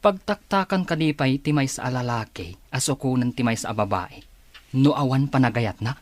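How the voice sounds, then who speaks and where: An actor playing a teasing, gossipy office co-worker in a radio drama, with an Ilocano accent. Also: male voice, medium pitch, normal speed, dry recording, natural voice. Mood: teasing, amused.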